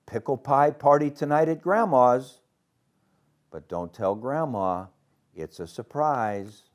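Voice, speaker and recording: A man's voice: medium pitch, lively intonation, slow pace, pitched above the performer's own voice. A man voicing eager young friends in a children's storybook, with simple, earnest delivery. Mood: excited, secretive.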